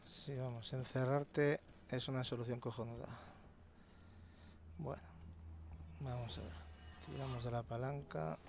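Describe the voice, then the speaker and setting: Man, casual let's play commentator, swears a lot, gravelly voice, noisy recording. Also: mid-range voice, steady tone, measured pace, long pauses, darkish tone. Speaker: gameplay commentator. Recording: voice-over with noticeable noise.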